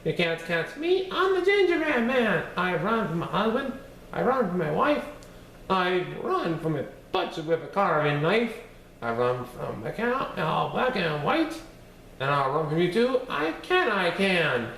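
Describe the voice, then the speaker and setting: Man putting on a cocky, high gingerbread cookie. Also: sing-song, a little slow, gravelly, fairly bright tone, reverberant, a little hiss or hum, full character voice, rough recording. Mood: taunting. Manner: high taunting singsong.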